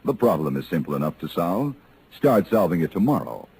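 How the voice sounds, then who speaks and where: A male American announcer from 1950s radio reads in a formal, measured way. His voice is low and mellow, with broad inflection. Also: very animated, slight background noise.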